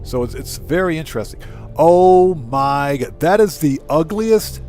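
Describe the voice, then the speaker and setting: A man hosts a live game stream in a fairly high-pitched voice. He comments casually and addresses his viewers directly, often repeating a phrase to drive it home. Music plays faintly behind him. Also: expressive, unhurried, a little mellow. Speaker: live streamer and channel host.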